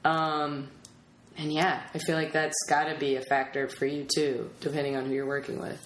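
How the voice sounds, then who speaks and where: A woman's voice: low-pitched, flat delivery, moderate pace. A woman hosting a casual podcast chat, informal and effusive, thinking aloud as she goes. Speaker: podcast host. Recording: roomy echo, faint background noise.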